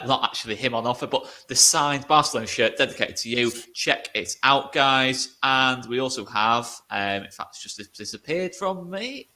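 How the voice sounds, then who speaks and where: A man's voice: medium pitch, sharp tone. A man hosting a casual online football chat, upbeat and salesy when wrapping up. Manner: upbeat, salesy wrap-ups. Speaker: host.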